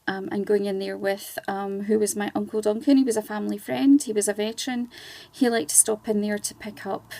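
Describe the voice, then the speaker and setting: A woman's voice: mid-range voice, flat delivery, a little fast, vocal fry, warm tone. A Scottish woman in her forties, a charity volunteer chatting as a guest on a radio interview, with many ums and restarts. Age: 40s.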